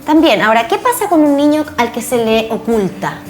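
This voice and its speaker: A woman's voice: on the high side, sing-song, fairly quick, faint music behind. A Chilean woman hosting a conversational studio interview, speaking earnestly and reflectively and posing rhetorical questions. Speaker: TV interview host.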